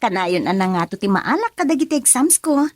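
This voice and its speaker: An actress playing an affectionate young student daughter in love, in an Ilocano radio drama. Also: female voice, mid-range voice, very animated, brisk, dry recording.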